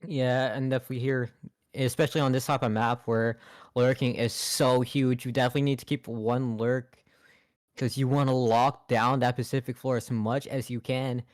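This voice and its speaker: A man's voice: medium pitch, little pitch movement, moderate pace. A man co-casting an esports match live as his voice wears out, rambling and filling gaps with "you know". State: losing his voice. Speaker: esports co-caster.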